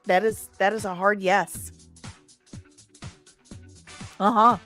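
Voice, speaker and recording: A woman hosting a casual livestream, chatting and reading out comments in a playful, expressive, sing-song way. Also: mid-range voice, takes it slowly. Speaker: live stream host reviewing comics and screen news. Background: faint background music.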